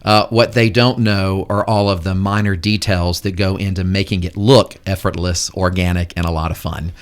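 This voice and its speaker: A man with a very low voice explains things articulately as a guest on a radio show. He sounds organized and at ease, like a school head laying out how things work. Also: fairly even intonation, normal speed, clean tone. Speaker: head of school and Rotary Club board member. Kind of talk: casual radio interview talk. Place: radio studio.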